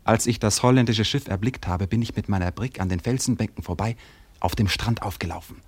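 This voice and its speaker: An actor playing a proud, ardent seafaring trader prince in a German radio drama, speaking in a solemn, poetic, declamatory way with a husky voice. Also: male voice, on the low side, fairly quick, natural voice. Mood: defiant, accusing.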